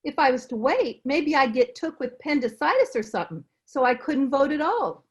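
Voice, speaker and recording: A woman's voice: high voice, sing-song, brisk. A woman playing a determined, cheerful, folksy housekeeper in an old-time radio comedy, plain-spoken, on dull video-call audio. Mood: resolute. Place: recorded remotely over a video call.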